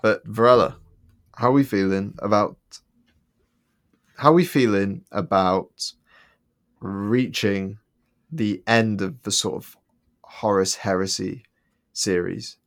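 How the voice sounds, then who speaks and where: A man with a fairly low voice co-hosts a casual podcast. He rambles along with plenty of ums and false starts. He keeps throwing in jokes. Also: takes it slowly, long pauses. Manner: rambling, many ums and restarts, joking. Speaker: podcast co-host.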